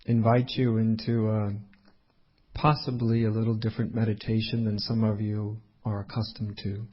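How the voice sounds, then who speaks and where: A man leading a guided meditation as a teacher, speaking unhurriedly in short phrases with long pauses. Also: lowish voice, flat delivery, muffled recording.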